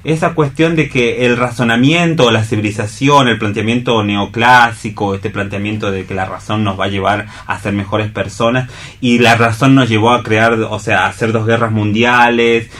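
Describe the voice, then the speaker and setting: A man's voice: fairly low pitch, fairly even intonation, normal speed, a bit bright. A man with an Argentine accent leading a casual round-table radio discussion, circling his ideas and repeating phrases as he goes. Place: radio programme.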